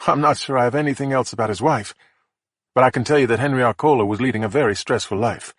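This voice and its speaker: A man's voice: medium pitch, fast talker, no echo, clean studio sound, lightly acted. A man voicing a methodical female police investigator who talks in a level, matter-of-fact way. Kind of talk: audiobook character voice.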